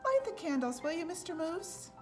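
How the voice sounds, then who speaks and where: A woman's voice: extremely high pitch, sing-song, moderate pace, music in the background, voice pushed up. A woman voicing a gracious, welcoming female moose hostess in a storybook, politely fussing over her guests. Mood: gracious, happy.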